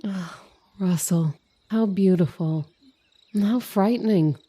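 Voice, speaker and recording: Actress as anxious, breathless wife in radio horror. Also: female voice, mid-range voice, slow, warm tone, slightly rough. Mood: awed. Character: anxious, dreamy young wife, easily frightened. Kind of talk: old-time radio horror drama acting.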